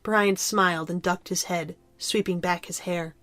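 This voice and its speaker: A woman's voice: on the low side, fairly even intonation, measured pace, a bit bright, no room sound, faint music behind. A woman reading scripted story narration as the narrator of an audio drama.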